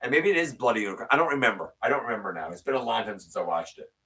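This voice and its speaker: A man hosting a live stream, reading viewer comments and answering them in casual, opinionated chat, his voice sometimes a little raspy. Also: lively intonation, rapid-fire, sharp tone, distant mic. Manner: opinionated, ranting with rising pitch when worked up.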